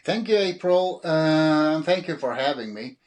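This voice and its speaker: A man's voice: a little high, animated, unhurried. A middle-aged man from Sweden with a Swedish accent, answering interview questions as an author with frequent fillers and restarts. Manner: hesitant, many fillers and restarts. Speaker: author and guest.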